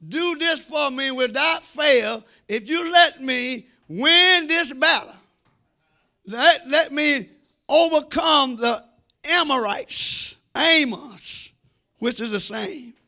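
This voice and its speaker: A man preaches in a very high, piercingly bright voice with gravel in it. He is emphatic and repeats his phrases, swinging between loud declarations and quieter asides as he calls on the church to respond. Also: slow. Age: middle-aged. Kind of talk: animated sermon with call and response. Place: church sanctuary, speaking from the front. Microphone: handheld microphone.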